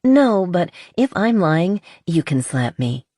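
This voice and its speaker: A woman voicing an anxious, evasive young romantic heroine in an audiobook, halting and pleading. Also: lowish voice, very animated, mellow, no echo, studio quality, pitched above the performer's own voice, heavily acted. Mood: relenting.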